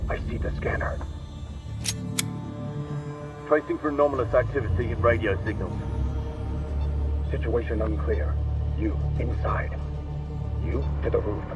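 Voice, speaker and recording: An actor playing a commanding squad leader in a video game cutscene, giving clipped military orders. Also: male voice, mid-range voice, measured pace, long pauses, muffled recording, lightly acted. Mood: tense, commanding. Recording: distant, under very loud music.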